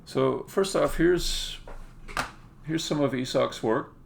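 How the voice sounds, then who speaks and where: A man who develops indie games, talking casually and haltingly as he thinks aloud through a live-stream demo. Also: medium pitch, vocal fry, a little hiss or hum.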